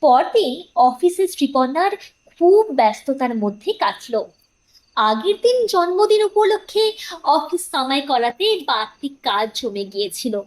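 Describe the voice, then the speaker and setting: A woman narrates a scripted story for an audio drama. Her voice is high, and her intonation moves widely as she tells it. The delivery is that of a dramatic storyteller. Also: animated, measured pace, poor audio.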